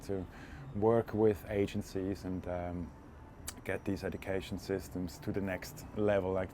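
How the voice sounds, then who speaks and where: A man's voice: on the low side, mellow, a little hiss or hum. A man, talking casually as a guest in an interview about himself. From Switzerland. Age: 40s. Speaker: interview guest, freediving instructor.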